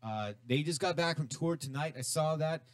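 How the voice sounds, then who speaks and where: A man's voice: a little high, moderate pace, no echo, studio quality. Rambling middle-aged male radio host. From Southern California. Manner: rambling, stumbling restarts, swears casually. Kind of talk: casual radio interview chat. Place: radio studio.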